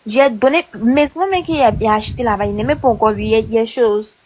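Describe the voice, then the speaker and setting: Excited woman with a higher voice. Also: fast talker. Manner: excited, jumps between thoughts. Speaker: witness calling in.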